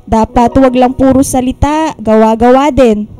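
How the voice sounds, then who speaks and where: A woman's voice: high-pitched, steady tone, moderate pace, close to an everyday voice. A woman playing a teasing, protective middle-aged mother in a Tagalog radio drama. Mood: gently firm. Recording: music bed underneath.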